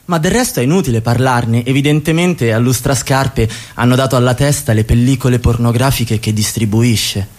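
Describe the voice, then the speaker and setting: Actor as cold, menacing male party boss, mid-pitched, imperious and accusing, radio theatre. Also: normal speed, few pauses, darkish tone, lightly acted. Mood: cold, threatening. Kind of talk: Italian radio theatre acting.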